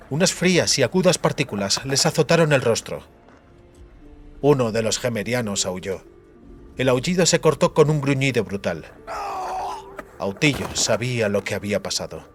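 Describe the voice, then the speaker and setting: A man's voice: medium pitch, expressive, normal speed, long pauses, faint music behind. A man narrating a fantasy audiobook in a dramatic, scripted style.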